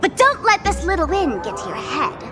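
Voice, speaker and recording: A high-pitched, smug young trickster girl in a video game. She teases theatrically and swings widely in pitch, with music playing behind her. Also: very animated, brisk. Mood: playful, smug. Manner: teasing, theatrical, speaks of herself in third person. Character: mischievous young female masked trickster streamer, smug and theatrical. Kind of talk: video game character acting.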